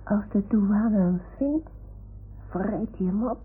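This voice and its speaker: An actress playing a naive, unsure little toy dog, talking in a childlike, hesitant way in an old radio play. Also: female voice, medium pitch, slow, slightly rough, narrow, tinny audio. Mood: earnest. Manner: childlike, hesitant, searching for words. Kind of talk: 1960s-70s Dutch radio play acting. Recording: thin, noisy old radio recording.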